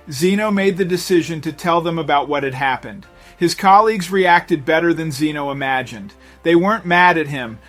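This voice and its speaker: A man with a slightly high voice reads a scripted story as a dramatic narrator. His delivery is measured and builds suspense, with faint music playing underneath. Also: fairly bright tone. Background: background music.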